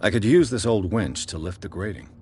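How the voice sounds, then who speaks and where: Terse formal investigator, very deep voice, varied intonation. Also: male voice, lively intonation, a little fast, a little mellow, breathy edge. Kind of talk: video game voice acting.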